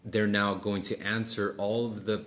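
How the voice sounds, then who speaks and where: A man's voice: on the low side, little pitch movement, normal speed, a bit bright. A middle-aged man coaching one-on-one in a casual, explanatory way, acting out sample sales dialogue and checking in often. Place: small office meeting room, indoors. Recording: clearly noisy room sound.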